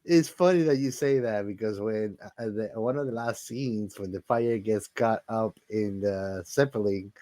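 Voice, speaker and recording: A man hosting a casual movie discussion, haltingly thinking aloud and repeating words as he goes. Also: fairly low pitch, mellow. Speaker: podcast host.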